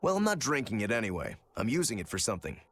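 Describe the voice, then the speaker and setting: A man's voice: lowish voice, sing-song, quick pace, a bit bright, breathy edge, exaggerated voice. An actor playing an easygoing, teasing male demon swordsman who likes his drink, in a video game. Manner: wry, teasing, laid-back. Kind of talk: English video game voice acting.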